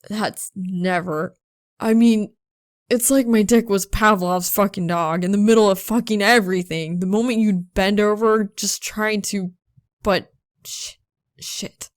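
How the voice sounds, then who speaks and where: Woman voicing a gruff, flustered college guy. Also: medium pitch, steady tone, no echo, studio quality, natural voice. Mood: agitated, embarrassed. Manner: halting, rambling, sheepish. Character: flustered, embarrassed young male college student confessing a crush.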